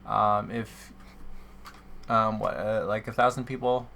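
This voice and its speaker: A man's voice: on the low side, flat delivery, takes it slowly, vocal fry, pushed and bright, slight background noise. A young man co-hosting a podcast in casual, conversational banter. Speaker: podcast co-host.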